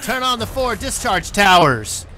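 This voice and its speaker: A man's voice: high-pitched, very animated, unhurried, pushed and bright, a little hiss or hum. A man chatting casually as he plays a game, with a playful, joking manner. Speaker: gamer playing a co-op roleplay map. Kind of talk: casual gameplay chat.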